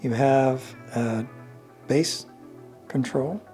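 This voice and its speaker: An older man presents a hands-on product walkthrough in a medium-pitched voice. He explains things casually and without hurry, pausing partway through sentences, with faint music in the background. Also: mellow. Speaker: vintage radio reviewer.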